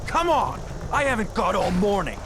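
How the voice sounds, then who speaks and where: An impatient, defiant male prisoner in a fantasy video game. His brash, scornful voice runs high and swings widely, with clear noise behind it. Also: very animated, moderate pace. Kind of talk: video game character acting. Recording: noisy outdoor game scene ambience.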